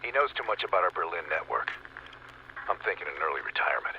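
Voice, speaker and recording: An actor playing a curt, hard-nosed spymaster in a video game, speaking bluntly and coldly. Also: male voice, low-pitched, big pitch swings, fast. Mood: cold, terse. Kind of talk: dramatic video game cutscene acting. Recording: muffled radio sound, clear noise.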